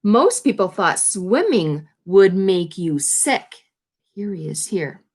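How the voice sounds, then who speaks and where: A woman teacher reading a children's picture book aloud, with expressive, deliberate reading and simply worded explanatory asides. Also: lowish voice, slightly rough.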